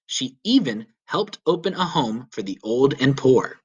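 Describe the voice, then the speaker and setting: Man reading aloud to children, very animated pitch. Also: unhurried, breathy edge. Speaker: teacher reading a story aloud. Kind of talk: children's read-aloud narration.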